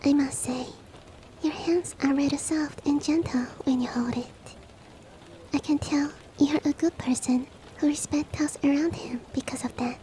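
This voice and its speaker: A woman playing a polite, devoted shapeshifting monster-girl maid in an ASMR roleplay, speaking softly and often in a whisper, with formal, gently earnest courtesy. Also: sits high, steady tone, moderate pace, lightly acted, poor audio. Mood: gentle, explaining. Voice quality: soft, breathy, often whispered. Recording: faint ambient rain noise behind. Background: soft rain ambience.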